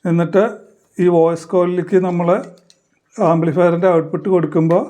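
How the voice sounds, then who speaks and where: A man explaining a hands-on demonstration in halting, short phrases, like a tutorial presenter. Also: a little high, flat delivery, mellow.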